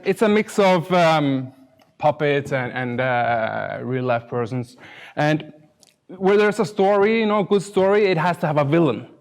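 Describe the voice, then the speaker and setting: A middle-aged man with an Icelandic accent giving an informal conference talk in a hesitant, self-deprecating way with dry humour. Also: on the high side. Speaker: conference speaker, UX designer.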